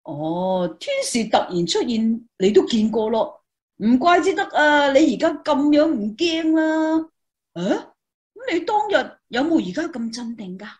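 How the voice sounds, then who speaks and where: An actress with a Hong Kong Cantonese accent playing an eager, easily amazed young student reporter in a radio drama, exclamatory and expressive. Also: female voice, sits high, measured pace. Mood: curious, astonished.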